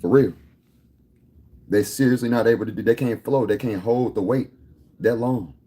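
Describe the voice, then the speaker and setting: A man's voice: medium pitch, moderate pace, mellow, breathy edge. A man in his thirties talking casually to camera, boastful and rambling, full of street slang. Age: 30s. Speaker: vlogger.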